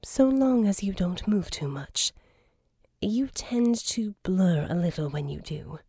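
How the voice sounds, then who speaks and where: A woman's voice: fairly low pitch, expressive, slow, gravelly, warm tone, husky, dry recording, heavily acted. A woman voicing a cool, sardonic female assassin for hire in an audiobook, dry and unimpressed in manner. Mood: grudging, critical.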